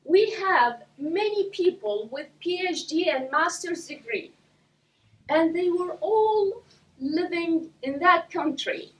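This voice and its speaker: A woman from Iraq giving a prepared speech to a club audience, speaking slowly and deliberately with pauses between phrases. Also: very high voice, expressive. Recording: voice from a distance.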